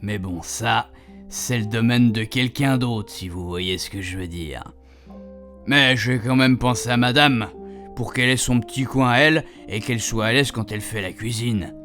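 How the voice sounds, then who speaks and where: A man's voice: mid-range voice, normal speed, fairly bright tone, lightly acted. An actor playing a chatty, proud retired husband in a radio fiction, rambling colloquially and speaking straight to his reader. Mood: fond, boastful. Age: older. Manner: colloquial, digressive, addressing the reader directly. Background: music.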